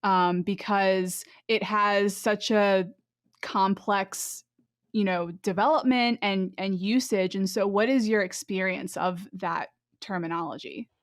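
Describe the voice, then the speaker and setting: Slow, halting woman podcast host. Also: mid-range voice, steady tone, no echo, studio quality. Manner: unhurried, halting, many ums and restarts. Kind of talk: casual interview conversation.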